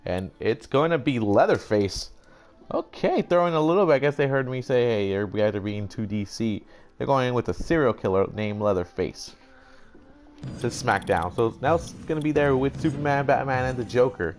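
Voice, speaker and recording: A man's voice: medium pitch, lively intonation, moderate pace. A young man doing casual, rambling mock-announcer commentary for a video game draft, swearing now and then. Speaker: gaming YouTuber.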